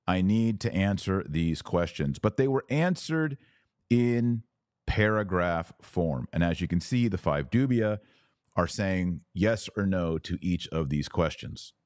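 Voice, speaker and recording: A man's voice: deep voice, animated, measured pace, darkish tone, no room sound, studio quality. A man hosting a podcast, giving informal, emphatic religious commentary and often checking in with the listener. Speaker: podcast host. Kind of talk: religious commentary, reading and explaining.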